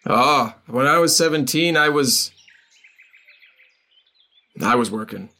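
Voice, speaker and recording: A man's voice: medium pitch, slow, bright, forceful tone. A man voicing a rambling, odd old hermit who mumbles absurd boasts.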